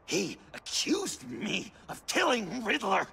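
An actor playing a manic, needy young clown vigilante in a video game, theatrical and excitable, prone to stammering. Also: male voice, high voice, takes it slowly, gravelly, breathy edge, full character voice. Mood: outraged, pleading. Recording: loud dramatic music behind.

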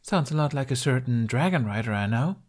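Man voicing a brash young woman, pitched up. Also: expressive, fairly quick, darkish tone, lightly acted. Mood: teasing. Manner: bold, theatrical, coaxing. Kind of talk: audiobook character voice.

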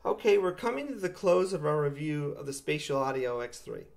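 A man's voice: fairly high pitch, very animated, fairly quick, vocal fry. A man in his fifties giving an explanatory product review with plain everyday comparisons. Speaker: audio equipment reviewer. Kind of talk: product review talk to camera. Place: living room with acoustic panels, indoors.

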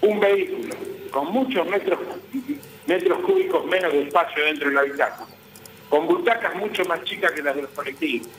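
An Argentine man with a high, forceful voice gives a live news interview. He argues insistently and poses his own questions. The remote call sounds roomy, with some faint noise. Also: sing-song, a little fast. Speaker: guest, transport business association vice president.

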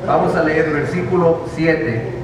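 A man's voice: on the high side, a little slow. A man interpreting a sermon, earnest, with restarts. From Mexico. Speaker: interpreter and minister. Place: large dim auditorium. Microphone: handheld mic, far camera. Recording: echoey hall from far off, noisy.

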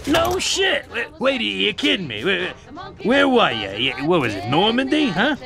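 An actor playing a coarse, chatty middle-aged American war veteran who sells guns in a video game. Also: male voice, high-pitched, normal speed, gravelly, music in the background, heavily acted. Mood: amazed. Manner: excitable, coarse, salesman-like. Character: gruff, chatty one-eyed war veteran gun dealer. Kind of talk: video game cutscene acting.

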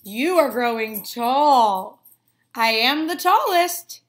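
Woman voicing boastful little grass blades, high and drawn-out. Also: animated. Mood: proud. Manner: emphatic, drawn-out declarations.

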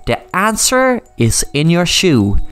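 A woman's voice: fairly low pitch, wildly swooping pitch, takes it slowly, soft background music, voice pushed up, full character voice. A woman voicing a shrewd, commanding male sheriff who talks to his men in a knowing, fatherly way. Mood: relieved.

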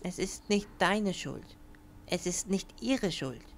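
A woman voicing a gentle toy lion who consoles a child in a reassuring way, in an animated short film. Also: fairly low pitch, slow pace, a little hiss or hum. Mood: gentle, consoling.

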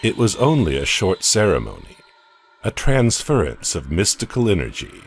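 A man narrating a horror audio comic in a slow, measured, ominous way. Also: deep voice, wildly swooping pitch, husky. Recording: narration over loud music.

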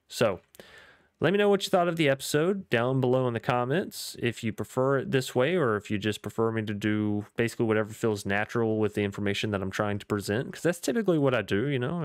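Young gaming YouTuber, male, chatty and upbeat, voice going a bit hoarse, dry close mic sound. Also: medium pitch, dry recording. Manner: chatty, upbeat, rambling with fillers. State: losing his voice. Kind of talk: casual gameplay commentary.

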